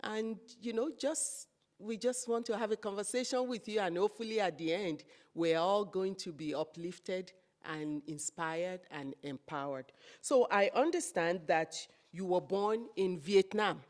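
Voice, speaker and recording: A woman's voice: medium pitch, a little slow. A woman hosting an interview, speaking in a hesitant way with many fillers as she sets up questions for her guest. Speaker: host. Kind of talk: interview conversation.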